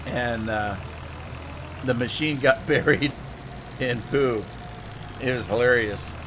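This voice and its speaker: A man's voice: medium pitch, slow, noisy background. A man streaming a farming game, chatting in a rambling, folksy way while slightly losing his voice. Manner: rambling, folksy, restarts often. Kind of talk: casual live-stream chat while playing.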